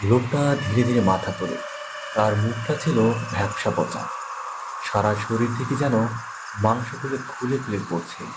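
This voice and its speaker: A man's voice: medium pitch, moderate pace, background noise. A man reading scripted narration for a horror story. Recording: music behind the voice.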